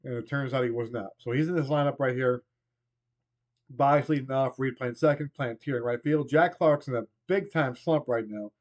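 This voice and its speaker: This is a man in his forties, host of a baseball replay, whose voice is worn hoarse by a lingering cold. He rambles loosely and often trails off mid-thought. The slightly muffled home recording makes his voice sound a bit dull. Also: medium pitch, normal speed, darkish tone. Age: 40s. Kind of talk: casual play-by-play of a baseball game replay.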